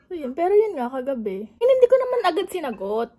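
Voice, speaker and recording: A woman vlogger chatting casually on prank calls to friends, with a giggly, animated manner. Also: high voice, fast. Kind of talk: casual chat on the phone.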